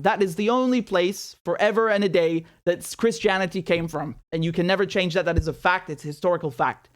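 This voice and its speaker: Animated, indignant man, high voice. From Syria. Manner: indignant, sarcastic, repeats words when worked up. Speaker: political commentator host. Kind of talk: animated commentary to camera. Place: small indoor studio room. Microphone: studio mic on boom arm.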